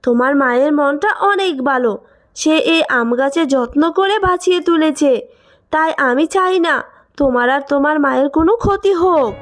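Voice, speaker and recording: A woman voicing a kindly, protective talking tree in an animated moral tale, speaking earnestly. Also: sits high, moderate pace. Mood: earnest warning. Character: kindly talking mango tree warning a girl.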